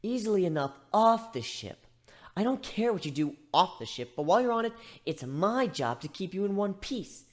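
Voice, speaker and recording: A woman's voice: mid-range voice, very animated, moderate pace, close to an everyday voice. A woman playing a stern, short-tempered fantasy ship's medic who scolds and threatens, in a dramatic reading. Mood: scolding. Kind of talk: dramatic reading of fan fiction.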